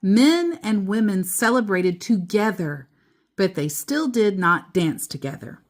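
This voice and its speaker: A woman reading a children's book aloud as a storyteller, deliberately, pausing between short phrases and adding casual asides. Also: mid-range voice, lively intonation.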